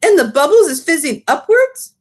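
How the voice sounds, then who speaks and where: A woman voicing a big, friendly old male giant, excitable and indignant, with mangled grammar and invented words, in a storybook read-aloud. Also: extremely high pitch, normal speed, pitched above the performer's own voice. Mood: explaining.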